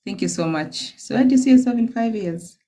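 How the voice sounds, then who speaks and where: A woman's voice: fairly high pitch, animated, brisk, warm tone. A woman hosting a live stream, tossing in short teasing prompts and mixing in Swahili. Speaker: host. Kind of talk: casual live-stream chat.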